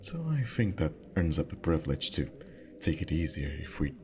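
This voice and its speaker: Male actor as sweet teasing boyfriend, extremely deep pitch, soft unhurried delivery, intimate ASMR roleplay, background music. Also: very animated. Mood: teasing, relaxed.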